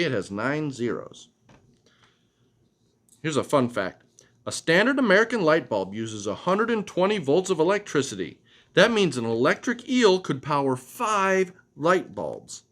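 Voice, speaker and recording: A man reading a children's picture book aloud as a storytelling father, expressive and explaining things to a child. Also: fairly high pitch, a little slow.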